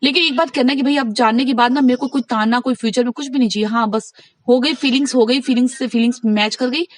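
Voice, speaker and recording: A woman's voice: fairly high pitch, steady tone, fast talker, dry recording. A woman in a casual private phone conversation, talking insistently, giving advice and recounting what others said. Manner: insistent, advising, recounts conversations by acting out quotes.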